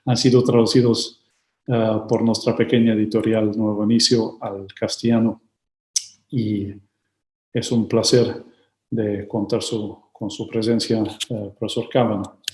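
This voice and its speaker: A man hosting a book launch and formally introducing the speakers, with a hesitant delivery full of pauses and filler sounds, over a video call. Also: on the low side, flat delivery, a little mellow. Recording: roomy echo.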